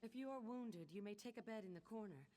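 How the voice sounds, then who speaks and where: An actress playing a calm, courteous healer in a game. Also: female voice, on the high side, fast, lightly acted.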